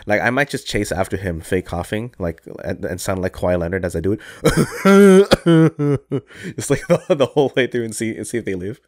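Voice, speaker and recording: A young man co-hosting a casual chat, animated and jokey, swearing and laughing often. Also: on the low side, moderate pace. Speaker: podcast co-host.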